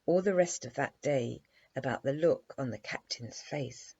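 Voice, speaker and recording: A woman reading a children's bedtime story aloud in an animated, measured storytelling manner. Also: a bass voice, vocal fry, husky, dry recording. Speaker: storybook reader. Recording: dry, slightly dull lo-fi recording.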